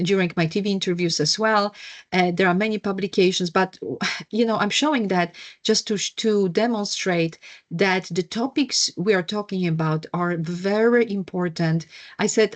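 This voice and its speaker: A middle-aged woman with a Polish accent, a wellness coach presenting a workshop over a video call, with many fillers and self-corrections. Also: fairly low pitch. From Poland.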